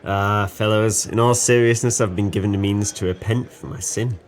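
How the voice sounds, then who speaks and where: Deep-voiced drunken sailor, acted by a man. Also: steady tone, normal speed, lightly acted. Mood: maudlin, earnest. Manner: effusive, sentimental, rambling. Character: drunken, guilt-ridden penniless former sailor, sentimental and generous. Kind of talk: audio drama acting.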